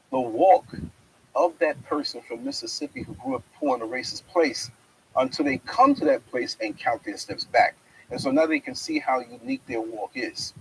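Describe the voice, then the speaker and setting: Middle-aged male host, gravelly voice with wildly swinging pitch, outdoors. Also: a little hiss or hum, rough recording. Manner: wide swings in pitch, reflective and preacherly. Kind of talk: spiritual monologue to camera. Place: outdoors in a fenced yard.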